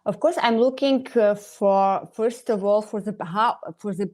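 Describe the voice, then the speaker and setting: A woman's voice: medium pitch, measured pace, darkish tone. A woman in her fifties with a Ukrainian accent, a dentist guest who hesitates and searches for English words.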